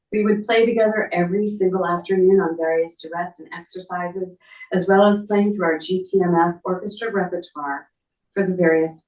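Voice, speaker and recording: A middle-aged woman speaking at a memorial, reading a written tribute aloud. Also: on the low side. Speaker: memorial speaker, relative of the family. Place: echoey indoor memorial gathering. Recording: echoey room, distant microphone.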